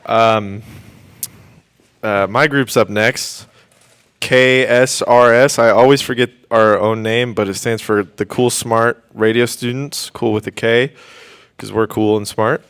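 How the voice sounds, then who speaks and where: A young man with a clean, smooth voice at an ordinary male pitch, chatting as a student radio host. His delivery is casual and rambling, hesitating as he goes. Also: fairly even intonation, slow, fairly bright tone. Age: young adult. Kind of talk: casual unscripted radio chat. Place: radio studio. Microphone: studio microphone.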